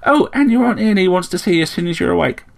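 Chirpy high-pitched talking sparrow. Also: male voice, lively intonation, fast talker, gravelly. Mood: cheerful, fussy. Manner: chirpy, matter-of-fact. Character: cheerful, chirping, fussy talking sparrow, slyly bossy.

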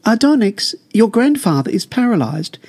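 A man's voice: high voice, very animated, normal speed, darkish tone, voice pushed up, heavily acted. A man reading an audiobook, voicing a desperate mother who urgently pleads to save her son.

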